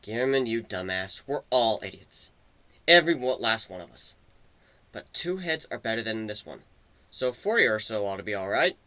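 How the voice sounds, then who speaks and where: A man voicing a gruff, rousing griffin crew leader in a dramatic reading, with solemn, emphatic oratory. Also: lowish voice, very animated, normal speed, bright, forceful tone, no room sound, exaggerated voice. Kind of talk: dramatic reading of fan fiction.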